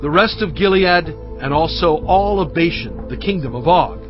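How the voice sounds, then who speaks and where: A man as Moses, earnest and measured. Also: on the high side, animated, muffled recording, over music, close to an everyday voice. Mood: solemn. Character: Moses, an aged leader recounting conquests. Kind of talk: dramatised scripture reading.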